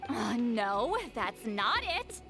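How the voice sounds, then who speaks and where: A woman's voice: a little high, sing-song, drawn-out words, loud music behind the voice, full character voice. A woman voicing an ancient yet youthful-sounding female shaman in a video game, curt, prickly and aloof. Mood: brusque, assured.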